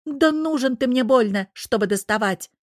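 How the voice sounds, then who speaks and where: A woman's voice: high voice, sing-song, fairly quick, darkish tone, no room sound, studio quality, voice pushed up. A woman voicing a hot-headed, stubborn young woman who scolds shrilly and combatively in a story reading. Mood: wounded defiance.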